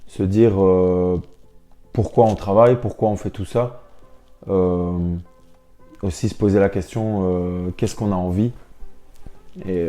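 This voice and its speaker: A man's voice: deep voice, mellow. A young man talking informally and reflectively in an interview as a professional athlete, often repeating words as he builds his sentences. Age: young adult. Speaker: professional basketball player, interview guest. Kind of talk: casual interview talk.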